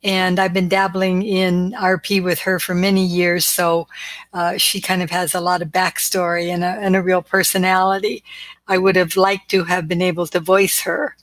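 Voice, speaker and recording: A woman guesting on a podcast, shy and soft-spoken, talking about her writing. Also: fairly low pitch, flat delivery, normal speed, no echo. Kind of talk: casual podcast chat.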